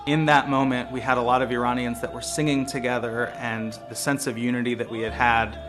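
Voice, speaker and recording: An American man, a lawyer and street photographer, speaking in an earnest, measured, reflective way in a documentary interview. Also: mid-range voice, little pitch movement. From United States. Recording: music bed playing behind voice.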